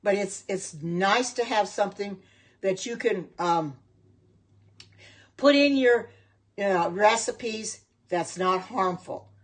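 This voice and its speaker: A woman's voice: medium pitch, takes it slowly, long pauses. Chatty woman rambling on a home livestream. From United States. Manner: chatty, rambling, answers viewer comments. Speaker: home cook and vegan livestreamer. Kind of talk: casual livestream chat with viewers.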